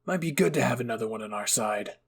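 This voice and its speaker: A man acts out a young anime fantasy fighter with a short temper and a smirk. He speaks bluntly and dismissively in a gruff, rasping voice full of creak. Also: mid-range voice, lively intonation, fast talker. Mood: gruff, teasing. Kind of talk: anime fan roleplay acting.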